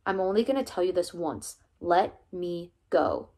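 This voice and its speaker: A woman voicing a firm middle-aged male track coach in an audiobook, with a flat, hard delivery. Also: medium pitch, normal speed, lightly acted. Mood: stern.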